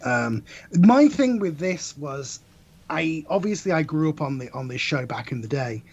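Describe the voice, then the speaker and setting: A man's voice: on the high side, big pitch swings, normal speed, a little mellow, lo-fi sound. A man in a casual podcast discussion, rambling and correcting himself as he goes.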